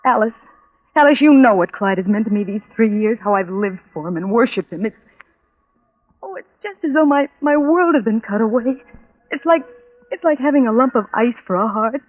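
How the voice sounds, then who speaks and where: An actress playing a desperate, lovesick young woman in a 1940s radio drama, with a halting, pleading, melodramatic delivery. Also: on the high side, animated. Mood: anguished. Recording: tinny band-limited old radio recording.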